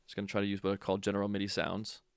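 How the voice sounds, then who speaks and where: A man with a low voice and plenty of fry, talking through a software walkthrough like an instructor. He is informal and offhand, thinking aloud as he goes. The sound is close and dry. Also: monotone, rapid-fire, no echo. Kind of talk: casual software tutorial walkthrough.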